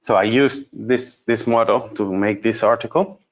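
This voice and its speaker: A man giving an unscripted software demonstration as a trainer, explaining step by step and thinking aloud in a halting way. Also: lowish voice, fairly even intonation, muffled recording. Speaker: trainer presenting a product course.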